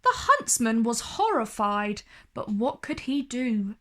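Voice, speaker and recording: A woman storyteller with a fairly high voice reads a children's tale. Her delivery is very slow, deliberate and expressive. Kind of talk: scripted story read-aloud for children.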